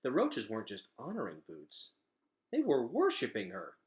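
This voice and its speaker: A man reading a children's novel aloud as an expressive storyteller. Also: mid-range voice, moderate pace.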